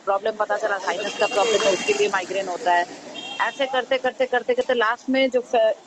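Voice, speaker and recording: An Indian-accented woman with a medium-pitched voice explains things as a caller seeking advice. She is earnest and conversational, repeating words for emphasis, over a phone recording with slight background noise. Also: steady tone, fairly quick. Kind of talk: casual chat, recounting symptoms.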